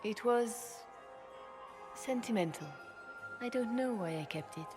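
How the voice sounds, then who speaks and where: Stately empress over music. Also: female voice, on the high side, lively intonation, a little slow. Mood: regretful, restrained. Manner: formal, stately, restrained. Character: regal, regretful empress, guarded and proud. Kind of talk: fantasy game cutscene acting. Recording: clear music behind the voice.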